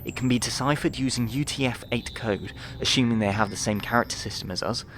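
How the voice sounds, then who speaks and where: Man voicing an earnest, anxious woman scientist, raised pitch. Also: fast talker, noisy background, lightly acted. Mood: earnest, astonished. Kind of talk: dramatised sci-fi audiobook dialogue.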